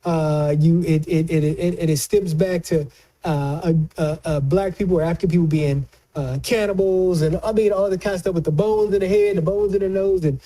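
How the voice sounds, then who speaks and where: A man's voice: high voice, steady tone, moderate pace, warm tone. A man giving casual political commentary, speaking emphatically and repeating phrases for stress.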